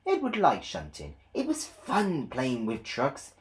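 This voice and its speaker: Slow, very expressive male storyteller. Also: medium pitch. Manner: measured, animated storytelling. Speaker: storybook narrator. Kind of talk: expressive read-along children's story narration.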